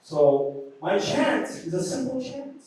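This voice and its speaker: A man's voice: high-pitched, big pitch swings, slow pace, darkish tone. A man, a community organizer, giving rallying closing remarks at a public event. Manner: rallying, speaks with emphatic public-speaking cadence. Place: large event hall. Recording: echoey hall, voice picked up from a distance.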